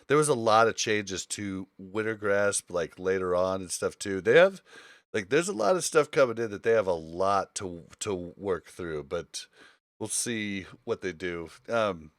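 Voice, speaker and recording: A man with a fairly deep voice hosts a relaxed gaming conversation. The sound is dry, with little room on it, and his explanations come out informal and rambling. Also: dry recording. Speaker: podcast host. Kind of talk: casual gaming podcast chat.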